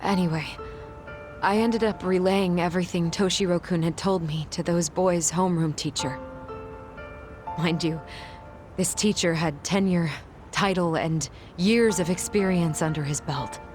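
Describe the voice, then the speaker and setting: A woman playing a guarded, guilt-ridden young schoolteacher in a dramatic game cutscene, speaking in a measured, reluctant and earnest way. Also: fairly low pitch, a little mellow, close to an everyday voice. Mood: somber, confessional. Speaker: English teacher. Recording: dramatic music behind.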